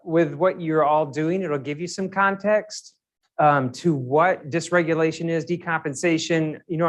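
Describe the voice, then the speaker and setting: Slightly high-voiced man addressing a commission. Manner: conversational, repeats words and uses many fillers. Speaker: physician and invited speaker. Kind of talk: informal presentation to a commission. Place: meeting room.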